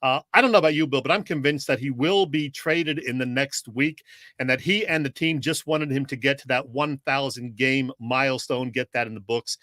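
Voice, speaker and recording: A man's voice: medium pitch, moderate pace, sharp tone, no room sound. A man co-hosting a casual sports podcast with a teasing, conversational manner.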